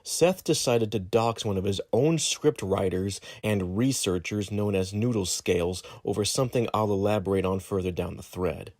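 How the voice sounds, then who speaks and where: Low-voiced man reading a statement, dry sound. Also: normal speed, hardly any pauses, darkish tone, dry recording. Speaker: guest reader of a document. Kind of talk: reading a written statement aloud.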